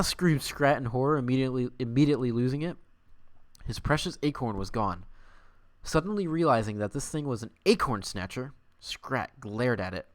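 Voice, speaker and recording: A man hosting a livestream, mixing mock-dramatic story reading with casual chat in a tongue-in-cheek way. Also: medium pitch. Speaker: livestream host.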